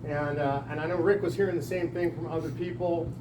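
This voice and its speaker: Loud projecting man in an echoey hall. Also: a little high, steady tone, fairly quick, background noise. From lives in Texas. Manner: loud, projecting, folksy and emphatic. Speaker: political speaker at a town hall. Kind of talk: rousing public speech. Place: packed town hall room. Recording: echoey hall recorded from a distance.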